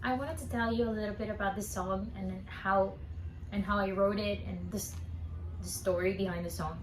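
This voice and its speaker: Soft-spoken woman in an echoey room. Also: mid-range voice, steady tone, moderate pace, noisy background. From Colombia. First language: Spanish. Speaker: singer-songwriter. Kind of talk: casual talk to camera.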